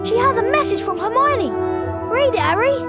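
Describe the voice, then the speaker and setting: An eager, very high-voiced schoolboy. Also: expressive, moderate pace, loud music behind the voice. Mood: excited. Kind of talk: video game character acting.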